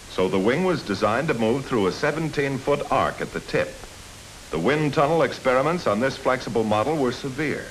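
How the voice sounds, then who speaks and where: An old film soundtrack with clear hiss carries an American man's narration. He reads in a scripted 1950s military training style, measured and instructive. His medium-pitched voice moves expressively up and down, with firm emphasis on key points. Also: rough recording.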